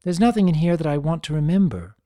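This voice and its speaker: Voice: mid-range voice, very animated, fast, warm tone, no echo, studio quality, pitched above the performer's own voice, lightly acted. A performer voicing an elegant, proud and guarded female unicorn pony in a cartoon fan story, with refined, theatrical and precise diction. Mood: wistful.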